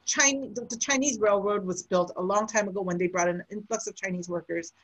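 A woman's voice: mid-range voice, fairly quick, rough recording. Earnest woman co-hosting a podcast. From United States. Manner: earnest, explains in long stretches. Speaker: podcast co-host. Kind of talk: casual podcast discussion.

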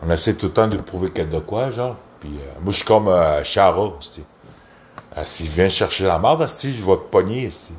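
A man's voice: low voice, expressive, fairly quick, slight background noise. A man from Quebec with a Québécois accent, giving a casual, rambling rant in a crude, profane, hesitant way. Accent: Québécois French.

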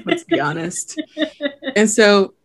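A young woman from Haiti with no trace of an accent, talking reflectively as a podcast guest, with many "like" fillers and frequent laughter. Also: medium pitch. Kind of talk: casual conversation.

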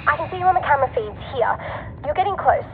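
Teasing chatty girl sidekick on a tinny intercom, high voice, sci-fi radio play. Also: expressive, fast. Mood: helpful, brisk. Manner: playful, flippant. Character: cheeky, teasing girl tech helper, scatterbrained and chatty. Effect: radio intercom filter. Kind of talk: sci-fi audio drama acting. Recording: thin radio line, noisy, long reverb.

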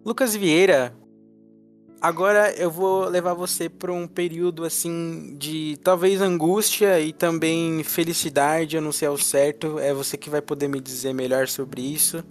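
A young man in his twenties hosts a loose, joking podcast chat and rambles on. His voice sits a little on the high side. Faint music plays underneath. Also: fairly even intonation, normal speed. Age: 20s. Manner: rambling, joking, laughs easily. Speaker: podcast host. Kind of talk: casual chat.

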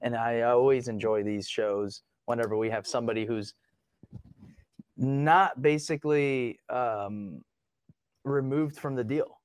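A man's voice: mid-range voice, steady tone, unhurried, clean tone, no room sound. A man co-hosting a podcast, introducing the guest in an upbeat, loose way with many fillers. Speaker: podcast co-host. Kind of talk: casual podcast chat.

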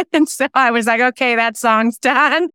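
Female interview guest, very clean smooth voice, chatty, dry close sound. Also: a little high, unhurried, sharp tone, no room sound. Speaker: interview guest, singer-songwriter and novelist. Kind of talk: casual interview chat.

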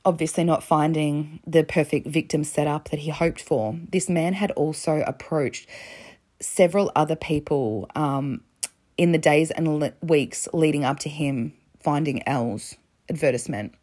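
A woman's voice: deep voice, unhurried, darkish tone. A young woman casually recounting a true crime case in a chatty, conversational way, with frequent fillers.

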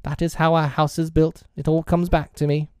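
A man's voice: on the high side, flat delivery, normal speed, a little mellow, clean tone, no echo, studio quality, voice pushed up, natural voice. A man voicing a solemn, eager boy who puts on grown-up airs in a storybook, anxious and self-important. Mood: obedient.

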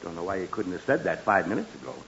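Grumpy middle-aged American father, sputtering, bright forceful voice, swooping pitch, muffled vintage radio comedy. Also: sing-song, fast, full character voice. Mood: grumbling. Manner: blustering, sputtering. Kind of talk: 1940s radio comedy acting. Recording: muffled hissy 1940s radio broadcast.

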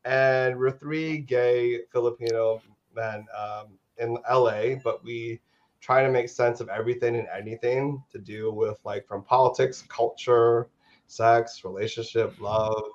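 A man's voice: mid-range voice, fairly even intonation, a little slow, muffled recording. A man from the United States, a guest co-host of a podcast, talking casually and jokily with a lot of "like.". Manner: chatty, jokey, lots of "like". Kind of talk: casual chat.

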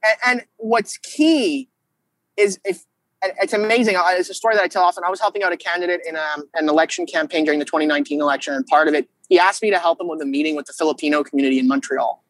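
A man's voice: on the high side, steady tone, fast, fairly bright tone, no room sound, poor audio. A man with a Canadian accent talking casually and with strong opinions about politics, emphatic and argumentative, often acting out imagined exchanges. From Quebec, Canada. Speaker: podcast guest, political strategist.

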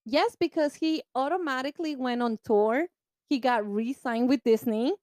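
A woman podcast co-host with a Spanish-speaking accent and a slightly high voice. She chats in a lively way, laughs a lot, and slips into Spanish. The recording is close and dry. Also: normal speed, no echo. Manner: lively, laughing, bilingual code-switching. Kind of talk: casual chat.

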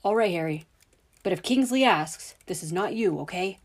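A woman's voice: lowish voice, lively intonation, normal speed. A woman voicing a formal, insistent male official.

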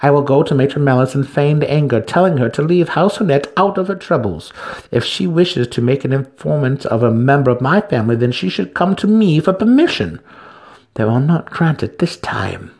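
A man voicing a tiny, strong dark elf matriarch, scheming and menacing, with a commanding manner, in a fantasy story reading. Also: medium pitch, lively intonation, normal speed, voice pushed up, natural voice. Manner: commanding, threatening.

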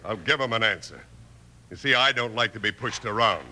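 An actor playing a shifty, touchy gambling-hall owner with an American accent and a defensive manner in a 1950s radio western. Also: male voice, on the low side, lively intonation, brisk, close to an everyday voice. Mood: defiant, angry. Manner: hesitant, then belligerent and defensive. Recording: noisy old-time radio recording.

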